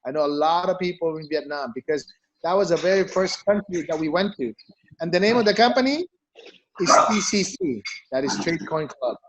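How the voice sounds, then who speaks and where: A man's voice: on the high side, animated, brisk, very noisy. A middle-aged man from the Philippines, a company CEO, telling his personal story in an interview, emphatic and repeating phrases for stress. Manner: emphatic, repeats for stress, peppers talk with "you know" and "okay?".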